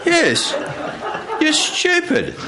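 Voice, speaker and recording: A patient, exasperated Creator from a live folk tale , acted out by a male storyteller. The deity speaks deliberately and bluntly, stressing words with lively pitch. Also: exaggerated voice. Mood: blunt, amused.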